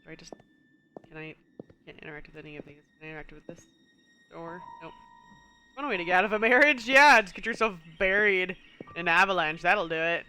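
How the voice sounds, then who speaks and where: A woman's voice: lowish voice, lively intonation, a little fast, pauses often, bright, forceful tone, a little hiss or hum. A woman with a stuffy nose streaming a puzzle game, thinking aloud and reading in-game text in a casual way. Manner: muses aloud, reads in-game text, chuckles often. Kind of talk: casual gameplay commentary.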